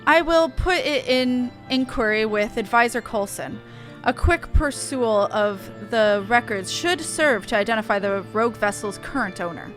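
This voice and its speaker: An earnest fantasy-game airship engineer, voiced by a woman, with a fairly high voice and wordy, old-fashioned turns of phrase. The lines are read as game dialogue over music. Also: moderate pace, fairly bright tone, lightly acted. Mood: confident.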